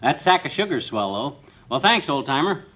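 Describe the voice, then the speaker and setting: An actor playing a blustery, impatient small-town schemer of a husband in a 1950s radio comedy, talking in a colloquial, hurried way. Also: male voice, mid-range voice, big pitch swings, bright, forceful tone. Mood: busy, grumbling. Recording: old radio recording, faint noise.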